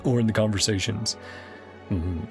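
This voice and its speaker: A man's voice: fairly low pitch, moderate pace. A man streaming a game, chatting casually and chuckling often as he half-reads the dialogue aloud. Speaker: gaming streamer. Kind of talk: casual let's-play commentary. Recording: game music playing underneath.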